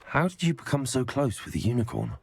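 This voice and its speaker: An actor playing a polite, helpful young wizard student, the hero of a video game, speaking in an earnest, measured way. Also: male voice, mid-range voice, expressive. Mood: courteous, concerned. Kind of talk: video game protagonist dialogue. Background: background music.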